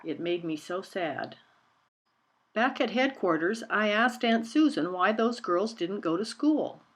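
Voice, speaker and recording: Female picture book reader, middle pitch, scripted children's story narration. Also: animated, unhurried.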